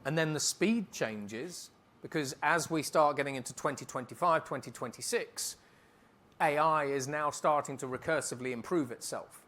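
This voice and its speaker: A man speaking as a keynote speaker, answering on stage in a conference hall in a brisk, emphatic way and rattling off lists. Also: a little high, a bit bright.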